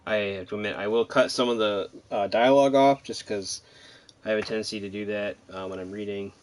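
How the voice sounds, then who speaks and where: Low-voiced man, rambling game commentary. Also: steady tone, brisk. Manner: rambling, hesitant, laid-back. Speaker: gaming commentator. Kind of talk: casual let's-play commentary.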